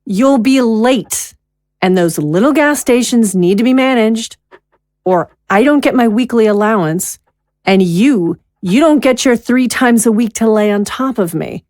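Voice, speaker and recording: Audio drama wife, thirties: demanding, childishly impulsive, slow and emphatic, close dry sound. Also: female voice, on the high side, animated, a little mellow, dry recording. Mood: bossy, scornful. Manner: demanding, emphatic, drawn-out delivery.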